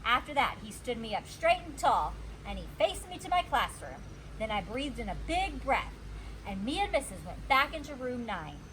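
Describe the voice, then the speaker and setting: A woman's voice: fairly high pitch, normal speed, slight background noise, rough recording. A woman reading a children's story aloud as a teacher would, expressively and acting out the dialogue. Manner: animated, acts out the dialogue.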